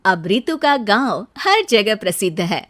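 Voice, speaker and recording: A woman's voice: mid-range voice, very animated, unhurried, a bit bright. A woman narrator reading a scripted cartoon story.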